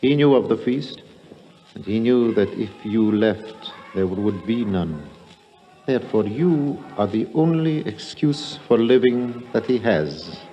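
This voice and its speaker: A man playing a serene, courteous and quietly ominous high priest in a 1950s radio drama, speaking formally and soothingly. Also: lively intonation, a little slow, faint music behind. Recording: muffled, noisy old radio recording, long reverb.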